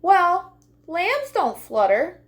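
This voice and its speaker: A woman's voice: very high-pitched, wildly swooping pitch, drawn-out words, voice pushed up, heavily acted. A woman voicing a young female lamb in a children's storybook, curious and clingy, with an earnest, pleading manner. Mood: matter-of-fact.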